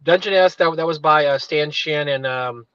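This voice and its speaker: A man's voice: fairly high pitch, normal speed, a crowd in the background. Talkative co-host in his car, restarts every thought, muffled stream audio. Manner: rambling, digressive, with many restarts. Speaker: livestream co-host. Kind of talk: casual chat. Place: inside a parked car.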